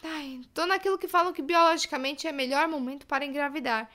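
Young Brazilian woman, high bright voice, anxious and spiralling, audio drama monologue. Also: brisk. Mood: anxious brooding. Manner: rambling, catastrophizing self-questioning. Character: anxious, spiralling young single woman doubting her life choices.

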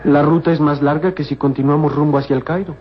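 Man playing a higher-voiced loyal young companion. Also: fairly even intonation, quick pace, lightly acted. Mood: earnest, respectful. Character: loyal, devout young servant companion, formerly enslaved. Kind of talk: old radio serial acting. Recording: muffled, noisy old radio serial recording.

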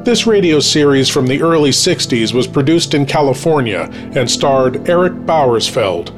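A man hosting a show, reading scripted countdown narration in a measured, deliberate announcing style. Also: medium pitch, expressive. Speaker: podcast host. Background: music.

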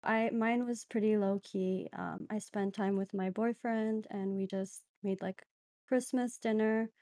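A woman from Dubai speaks in a warm, mellow tone as a podcast guest. She is low-key and hesitant, and pauses often on "um." The sound is dry and close. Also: fairly even intonation, no room sound. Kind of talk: casual chat.

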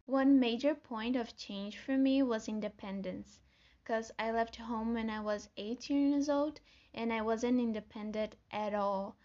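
A woman's voice: on the high side, unhurried, a little mellow, highs cut off. A young woman from Brazil with a Brazilian Portuguese accent, hosting her own solo podcast in an earnest, friendly way, as if reading from notes. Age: young adult. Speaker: podcast host. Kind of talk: scripted solo podcast talk.

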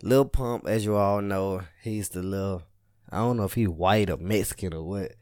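A man's voice: sits low, moderate pace, a little mellow, dry recording. Laughing man in dry podcast banter. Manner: laughs a lot, loose slangy banter. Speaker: podcast co-host. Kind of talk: casual chat.

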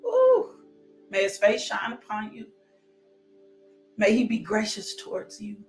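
A woman's voice: big pitch swings, unhurried, soft background music. Fervent woman preacher whooping. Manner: exclamatory, with sudden high whoops; repeats declarations, drops to hushed prayer. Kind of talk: fervent sermon with altar call and blessing.

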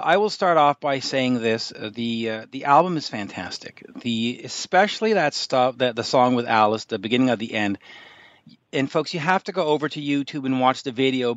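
A man's voice: mid-range voice, no echo, dull audio. A male radio host interviewing a guitarist, talking about music with enthusiasm and calling himself a geek about it.

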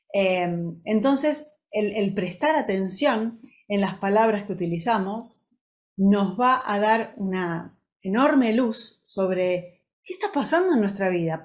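A woman speaks in the role of podcast host. She explains ideas conversationally to her listeners, leaning on key words and repeating them for emphasis. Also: medium pitch.

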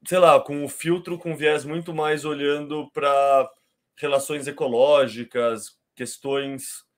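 A man in his thirties from Brazil with a Brazilian Portuguese accent, talking as a podcast guest in an unhurried, explanatory way with frequent restarts. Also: a little high, steady tone. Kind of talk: casual conversation.

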